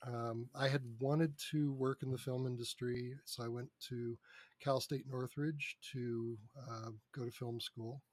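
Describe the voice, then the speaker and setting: A man's voice: mid-range voice, fairly even intonation, a little slow, dry recording. A man being interviewed as a podcast guest, speaking in a casual, joking, conversational way. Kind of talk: casual interview chat, storytelling.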